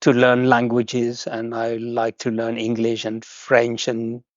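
A man from the Netherlands, a guest host, chatting casually in halting, hesitant English with many restarts. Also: medium pitch, completely flat delivery, dry recording, lo-fi sound. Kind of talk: casual chat.

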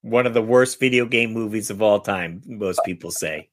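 A man's voice: lowish voice, normal speed. A man hosting a podcast guessing game, chatting casually about movies in an informal, rambling way.

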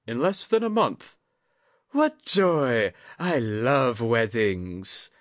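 A man's voice: mid-range voice, big pitch swings, extremely slow, no echo, studio quality, pitched above the performer's own voice. A man voicing a sly old noblewoman with a sweet, polite, inscrutable manner in an audiobook. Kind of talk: audiobook character voice.

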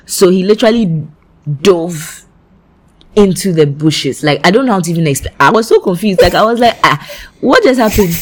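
Animated young Ghanaian woman. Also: normal speed, a crowd in the background. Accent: Ghanaian English. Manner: chatty storyteller, many "like" fillers, lively swings in pitch. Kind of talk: casual chat.

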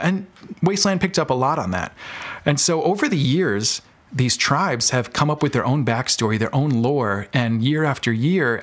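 American man, mid-range pitch, podcast guest, casual conversational storytelling, informal phrasing. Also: a bit bright. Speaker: podcast guest, audio drama producer. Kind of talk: casual interview chat.

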